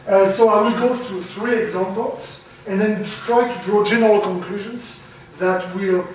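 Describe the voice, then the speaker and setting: A man giving a conference talk, loud and halting, with many fillers. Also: sits high, fairly even intonation, slight background noise. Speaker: conference speaker. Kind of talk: academic presentation. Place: lecture room. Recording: very echoey, from far off.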